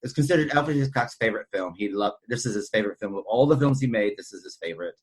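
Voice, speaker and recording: A man's voice: lowish voice, lively intonation, fast, breathy edge, rough recording. A man co-hosting a podcast, chatting casually about films in a rambling, conversational way that circles back over his points. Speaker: podcast co-host. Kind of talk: casual film discussion chat.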